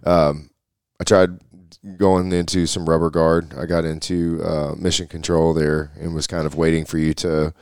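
Man, co-host, very low voice, dry close sound, relaxed chatty delivery. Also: normal speed, hardly any pauses, clear, resonant tone, no echo. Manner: laid-back, many agreeing fillers. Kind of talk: casual chat.

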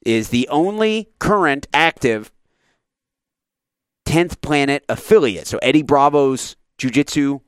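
A man's voice: a little high, lively intonation, measured pace, smooth, no room sound, studio quality. A man in his thirties hosting a casual sports radio talk show, enthusiastic and a little rambling. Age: 30s.

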